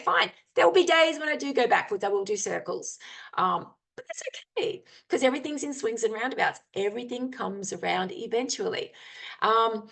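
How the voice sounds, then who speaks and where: Earnest woman giving a candid talk. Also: medium pitch. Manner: candid, earnest, many ums and you-knows. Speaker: guest speaker, adventurer. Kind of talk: informal talk with screen share, then Q&A.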